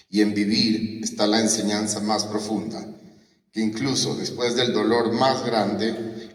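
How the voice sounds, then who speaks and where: A man gives a solemn formal speech at a memorial ceremony. He builds rhetorical, parallel phrases. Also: lowish voice. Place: large concert hall. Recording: roomy hall from far off.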